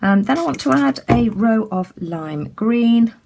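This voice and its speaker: A woman from the UK giving a casual craft tutorial as she works, chatty and full of ums, her voice a little croaky. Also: on the high side, animated, a little slow, darkish tone, a little hiss or hum. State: slightly croaky. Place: indoors at a craft table.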